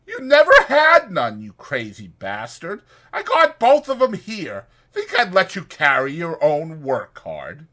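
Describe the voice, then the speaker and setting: A man's voice: a little high, huge pitch swings, unhurried, few pauses, bright, forceful tone, pitched above the performer's own voice, heavily acted. A man voicing a short-tempered, bossy working man who scolds in rustic, ungrammatical speech in a dramatized audiobook. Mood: exasperated, scolding.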